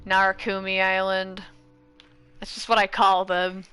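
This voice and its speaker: A woman chats over her gameplay in a bright, forward voice. She is playful and self-mocking, muttering asides, with faint game music behind her. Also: medium pitch, monotone, measured pace, clean tone. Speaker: gaming streamer. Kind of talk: casual gameplay commentary.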